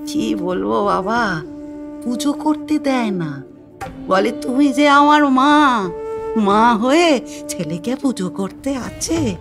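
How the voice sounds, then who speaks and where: A pious humble village woman in an audio drama, slightly high voice, pleading and tender, music behind. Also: expressive. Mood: devout, tender. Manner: reverent, pleading, unhurried village speech. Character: devout, humble village woman ascetic, doting and wonderstruck. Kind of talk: dramatised audio story acting.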